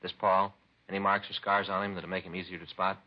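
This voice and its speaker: An actor playing a blunt, stern police detective questioning a suspect in a 1950s radio drama. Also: male voice, sits low, flat delivery, quick pace, natural voice. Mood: businesslike. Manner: blunt, no-nonsense. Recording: dull, lo-fi vintage radio recording.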